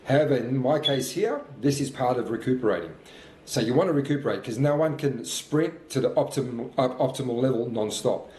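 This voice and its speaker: A man's voice: medium pitch, expressive, brisk, dark tone. A man of about fifty talks over footage of his own workout, coaching in a plain, encouraging way. His voice sits in a middle register. The sound has a noticeable roomy echo. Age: 50s. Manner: motivational, talks through his own thoughts. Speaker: fitness coach.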